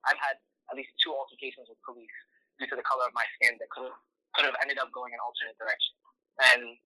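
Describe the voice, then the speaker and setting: A man's voice: mid-range voice, pushed and bright, no echo, rough recording. A young man from Morocco answering interview questions in a casual way, with lots of "like" and "um". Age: young adult. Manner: casual, many "like" and "um" fillers. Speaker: student activist guest.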